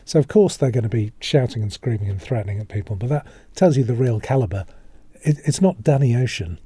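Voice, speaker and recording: A man's voice: mid-range voice, animated, a little fast, warm tone, husky, dry recording. A middle-aged man, a former police officer co-hosting a podcast, talking bluntly and firmly about criminals. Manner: blunt and opinionated. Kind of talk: casual chat.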